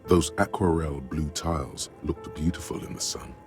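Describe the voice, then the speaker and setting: A man voicing a solemn, brooding inner-voice narrator in a video game, speaking in measured, literary scripted narration. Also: music in the background. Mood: contemplative. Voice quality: deep, gravelly.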